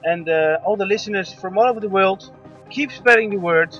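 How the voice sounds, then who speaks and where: A man hosting an internet radio show, gentle and affirming, pausing often with fillers. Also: sits high, faint music behind. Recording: dull lo-fi dry sound.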